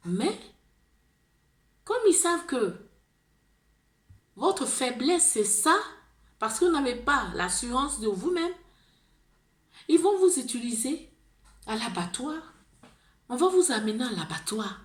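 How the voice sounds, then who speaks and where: A woman's voice: sits high, very animated, takes it slowly, pauses often, reverberant. A woman with an Ivorian French accent gives an impassioned monologue, pleading, repeating herself and restarting words. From Ivory Coast. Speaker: video blogger.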